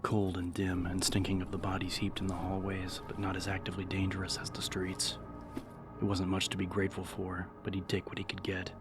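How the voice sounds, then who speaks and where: A man reading a novel as an audiobook narrator, in a measured, serious, dramatic style. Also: low-pitched, completely flat delivery. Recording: narration over background music.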